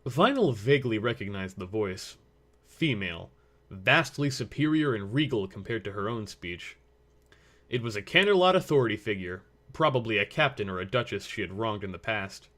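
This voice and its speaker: A man's voice: lowish voice, animated, normal speed, fairly bright tone. A man narrating a scripted story as its reader.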